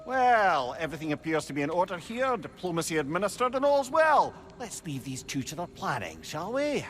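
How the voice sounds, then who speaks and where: Cheeky, highly animated old adviser. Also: male voice, a little fast, slight background noise. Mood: hasty, jovial. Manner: wry, wordy, mock-courtly. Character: chatty, cheeky old male royal adviser. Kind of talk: video game character acting.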